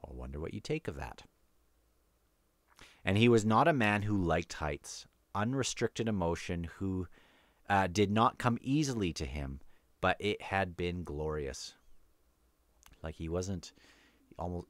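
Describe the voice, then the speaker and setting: Low-voiced man rambling through book commentary. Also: no room sound, clean studio sound. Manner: halting, rambling, thinking aloud. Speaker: book discussion host. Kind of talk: casual read-along commentary with quoted passages.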